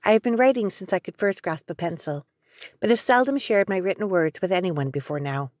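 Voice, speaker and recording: A woman from Canada, a poet, introducing herself and reading her own poem in an earnest, expressive way. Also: medium pitch, brisk, no room sound, studio quality. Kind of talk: poetry reading.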